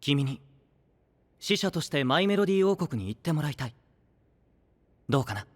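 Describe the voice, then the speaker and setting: An actor playing a gentle, idealistic young knight who leads an alliance, speaking softly and earnestly in anime audio drama style. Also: male voice, on the high side, sing-song. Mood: gently persuasive. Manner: soft-spoken, earnest, measured.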